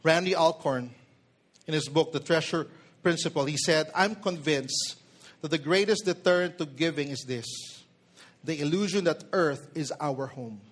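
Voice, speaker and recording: Preacher, male, forties, Filipino accent. High voice, emphatic sermon delivery, addresses listeners directly. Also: moderate pace, slightly rough. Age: 40s. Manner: code-switches between English and Tagalog; emphatic, with rhetorical questions to listeners.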